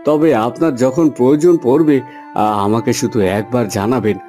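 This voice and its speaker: A man's voice: medium pitch, steady tone, normal speed, dark tone, lightly acted. A man voicing a humble, hesitant poor farm labourer and father in a fairy-tale cartoon. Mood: grateful. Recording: music under the voice.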